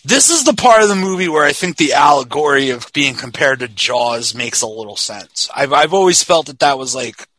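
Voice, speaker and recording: Casual podcast host, male, 40, forceful bright delivery, blunt and sweary, close dry sound. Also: medium pitch, expressive, moderate pace, few pauses, no echo, low-quality recording. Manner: emphatic, profane. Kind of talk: casual film discussion.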